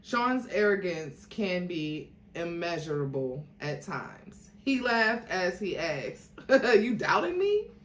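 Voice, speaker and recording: A woman host reading a book aloud as theatrical narration, with expressive asides. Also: on the low side, slow, hardly any pauses. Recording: echoey room, faint background noise.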